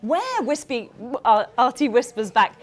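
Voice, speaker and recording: A woman reads a storybook aloud to a room of children. Her voice sits fairly high and swoops expressively. She is animated and interactive, breaking off to explain and ask questions like an author at a live event. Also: normal speed. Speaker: children's author reading own book.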